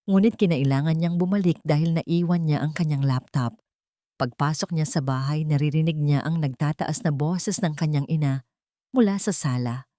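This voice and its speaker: A woman with a lowish voice narrates a romantic melodrama. Her delivery is scripted, measured and built for suspense. The recording is close and dry, with no room sound. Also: dark tone, dry recording. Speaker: story narrator.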